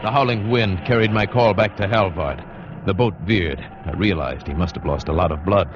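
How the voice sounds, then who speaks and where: A man's voice: low-pitched, normal speed, natural voice. An American man acting an adventurous, protective ship's skipper who narrates a perilous escape in 1940s radio drama style. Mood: tense narrating. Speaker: narrator and lead actor. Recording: muffled vintage radio recording, music behind.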